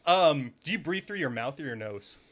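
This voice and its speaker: A man's voice: a little high, lively intonation, normal speed, fairly bright tone. A man hosting a podcast, chatting casually and curiously, at times reading facts aloud from a search. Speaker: podcast host. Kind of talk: casual chat. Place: room, with a dog nearby.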